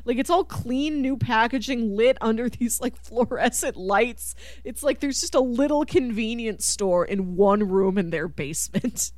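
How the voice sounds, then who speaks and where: A woman chatting casually about film as a podcast co-host, with an animated, enthusiastic and giggly manner. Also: fairly high pitch, unhurried, hardly any pauses, no echo. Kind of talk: casual chat.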